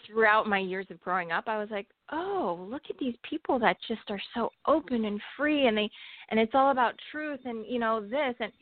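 A woman's voice: on the high side, lively intonation, normal speed, no room sound. A woman from the United States, an actress, talking candidly in a casual interview with frequent laughs. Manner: candid, laughs while telling stories. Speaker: actress guest. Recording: tinny phone-like line.

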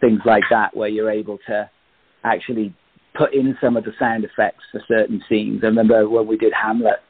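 Male guest actor, lower husky voice, conversational delivery, narrow phone-quality audio. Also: level tone, fairly quick, no echo. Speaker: radio play actor, interview guest. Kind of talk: casual interview chat. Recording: tinny phone-like connection.

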